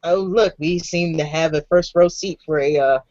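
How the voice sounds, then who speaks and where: A man's voice: fairly high pitch, normal speed, darkish tone, no room sound. A man playing a tabletop game, making sarcastic remarks. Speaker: player. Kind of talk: wry table talk. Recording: dry dull lo-fi mic.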